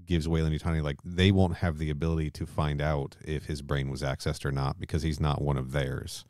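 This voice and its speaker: A man's voice: very deep voice, flat delivery, brisk, a little mellow, dry recording, clean studio sound. A man co-hosting a podcast in a casual, jokey, bantering conversational style. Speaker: podcast host. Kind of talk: casual film and comic discussion chat.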